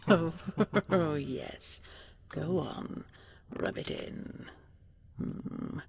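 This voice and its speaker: A woman playing an ancient dragon queen in an intimate sleep roleplay, tender, regal and wistful, speaking softly and breathily in slow, stately phrases, often half-whispering. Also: on the low side, vocal fry, a little hiss or hum. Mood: teasing. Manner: slow, measured, stately, with lingering pauses.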